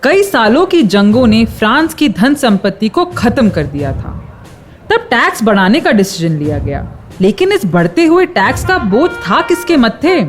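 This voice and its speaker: An Indian-accented actress playing a spirited street-theatre storyteller, declaiming to rally a crowd. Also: female voice, on the high side, sing-song, normal speed. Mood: brisk, explanatory. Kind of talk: rhymed, chanted street play narration. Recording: music under the voice.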